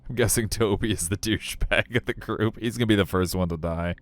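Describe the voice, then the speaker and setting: A man's voice: fairly low pitch, brisk. A man narrating a visual novel on a live stream, with chatty, joking commentary and game music behind him.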